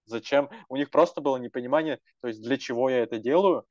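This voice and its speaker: A man's voice: mid-range voice, quick pace, a little mellow, no room sound, highs cut off. A young man talking casually and earnestly as a language activist, rambling with many fillers and restarts. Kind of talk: casual panel talk.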